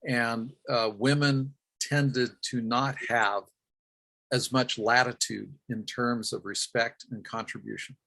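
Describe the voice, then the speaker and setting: A man's voice: mid-range voice, fairly even intonation, slow pace, gravelly, pushed and bright. A man presenting at a workshop, recounting a field project and answering questions, with frequent "uh" fillers and self-corrections.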